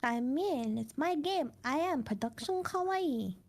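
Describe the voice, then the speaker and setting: A woman's voice: high voice, expressive, unhurried, a little mellow, no echo. A woman from the United Kingdom chatting casually and playfully on a stream, cutesy and teasing, mixing in Japanese phrases. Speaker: streamer, co-host.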